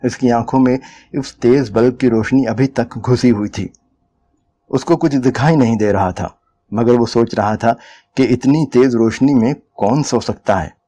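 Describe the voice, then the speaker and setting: A man's voice: medium pitch, a little slow, dark tone. A man reading a short story aloud as a dramatic, scripted narration.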